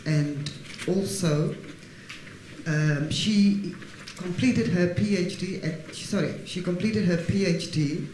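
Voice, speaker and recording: A man introducing a guest speaker in a halting way, correcting himself, with light humor. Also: a little high. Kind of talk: formal introduction. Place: lecture hall. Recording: echoey hall with hiss.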